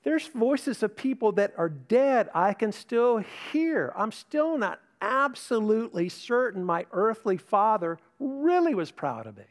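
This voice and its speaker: A man's voice: high-pitched, very animated, unhurried, few pauses. An older man preaching a sermon to a congregation, earnest and emphatic, often repeating words as he builds a point. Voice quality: mentions tinnitus, no vocal trait. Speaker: pastor.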